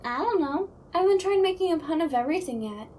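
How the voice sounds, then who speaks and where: A woman's voice: very high voice, expressive, fast, a little mellow, a little hiss or hum. A woman voicing a cheerful, childlike ghost who loves puns, playful and flighty, in a comedy audio roleplay. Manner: playful, flighty, pun-loving.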